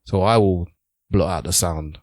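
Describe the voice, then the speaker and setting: A man hosting a casual group podcast chat, posing questions in halting, hesitant phrases. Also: low voice, a little mellow, dry recording, studio quality. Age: adult, parent of a daughter. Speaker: podcast host.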